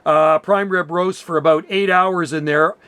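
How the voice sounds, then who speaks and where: A man with a high-pitched voice chats over amateur radio, sounding close and dry. He is enthusiastic and talkative, telling things in an easygoing, exclamatory way. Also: fairly even intonation, a little slow, fairly bright tone, clear, resonant tone, dry recording. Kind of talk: casual radio chat.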